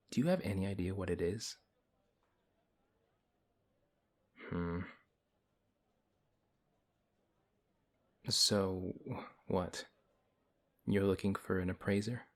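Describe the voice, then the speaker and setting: Man acting as a deep, husky, stern paladin. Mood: puzzled, dry. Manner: stern, measured, dryly skeptical. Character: big strong male paladin, principled, wary, reluctantly helpful. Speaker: audio roleplay performer. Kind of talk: ASMR audio roleplay acting.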